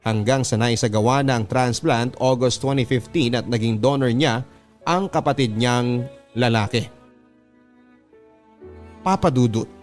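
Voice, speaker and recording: A man's voice: medium pitch, darkish tone. A man with a Filipino accent, a radio host reading listener letters as earnest, scripted first-person storytelling. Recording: music bed under the voice. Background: background music.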